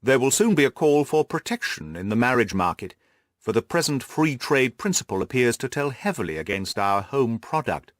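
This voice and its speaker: A man voicing an earnest, orderly gentleman companion in an audiobook, measured and deliberate in manner. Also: mid-range voice, big pitch swings, no echo, clean studio sound, lightly acted. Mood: measured, informative. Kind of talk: audiobook character voice.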